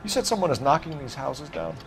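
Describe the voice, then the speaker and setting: An actor playing a determined young American police detective who questions in a clipped, insistent, businesslike way for a video game. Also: male voice, a little high, very animated, fast talker, faint music behind. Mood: probing. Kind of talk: dramatic video game acting.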